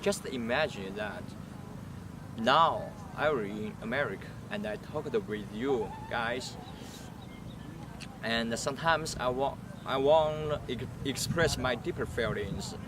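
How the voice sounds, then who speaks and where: A young man whose first language is Chinese, explaining his point in a relaxed way. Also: medium pitch, slow, distant mic. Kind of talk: prepared answers for a language project. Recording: street noise behind.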